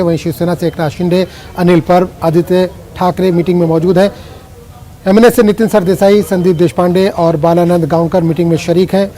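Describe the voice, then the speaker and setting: A male news anchor with a high-set, mellow voice. He reads the news and puts questions in a formal, measured broadcast manner.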